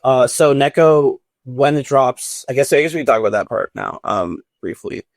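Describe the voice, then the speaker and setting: Relaxed male host, mid pitch with a gravelly edge, dry close-mic sound. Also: normal speed, no room sound. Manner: laid-back, slangy questions. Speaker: podcast host. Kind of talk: casual podcast interview.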